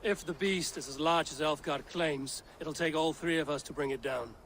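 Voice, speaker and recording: An actor playing a composed, wry Norse warrior with a terse, self-assured manner in a video game. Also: male voice, on the high side, normal speed, fairly bright tone, slight background noise. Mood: steady. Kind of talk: video game cutscene acting.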